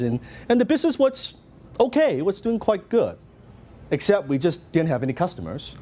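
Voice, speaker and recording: A middle-aged man from Hong Kong with a Hong Kong Cantonese accent, giving a motivational seminar talk and telling his story with lots of questions to the audience. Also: high voice. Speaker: business speaker.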